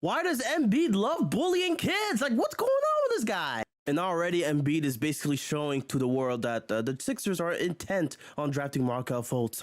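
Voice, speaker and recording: A man's voice: on the high side, dry recording. A man hosting a casual sports commentary video, chatty and exclaiming, often chuckling. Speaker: video host.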